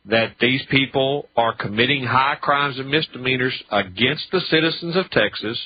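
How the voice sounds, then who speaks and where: An American man giving methodical, emphatic legal commentary as a talk radio presenter, spelling out each point step by step. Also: mid-range voice. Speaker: radio show commentator on traffic law. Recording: dull lo-fi talk radio audio.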